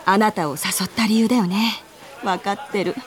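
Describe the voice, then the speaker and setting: A woman's voice: mid-range voice, fairly even intonation, moderate pace, natural voice. An actress playing a friendly, composed senior female office colleague in a Japanese radio drama, a little bashful and evasive. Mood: hesitant, confiding. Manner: friendly casual senior speech, earnest when explaining. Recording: studio voice with music behind.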